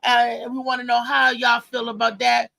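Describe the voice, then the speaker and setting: Lady hosting a live panel chat, sharp voice with some fry, emphatic, repeats herself. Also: a little high, monotone, moderate pace, no echo. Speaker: talk show host.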